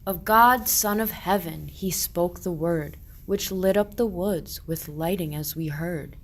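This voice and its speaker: A woman's voice: on the low side, unhurried. A woman playing a pious, earnest medieval shepherd, declaiming rhymed verse in a radio play.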